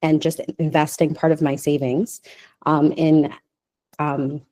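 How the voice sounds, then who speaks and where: A woman's voice: low voice, little pitch movement, slow, darkish tone. A woman venture capitalist, born in Nigeria, with an American New Jersey accent, introducing herself on a panel in a composed, conversational way.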